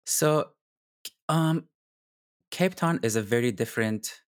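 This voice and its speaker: A man's voice: medium pitch, animated, takes it slowly, no echo, studio quality. A man who grew up in Kuwait, speaking earnestly in his third language as a doctor being interviewed. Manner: earnest, with occasional restarts in a non-native English. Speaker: guest doctor. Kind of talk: casual interview talk.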